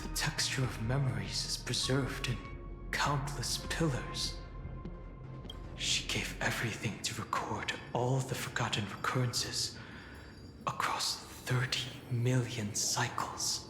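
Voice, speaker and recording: A solemn male quest hero played by an actor speaks from a video game over swelling music. His delivery is slow, earnest and grave, ringing with echo. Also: medium pitch, close to an everyday voice. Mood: reverent. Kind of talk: dramatic video game voice acting. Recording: echoey hall reverb with music behind.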